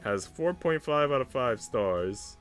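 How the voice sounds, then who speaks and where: A man's voice: medium pitch, expressive, slow. A young man streaming, chatting casually in a slangy, laid-back way. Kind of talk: casual livestream chat. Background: music.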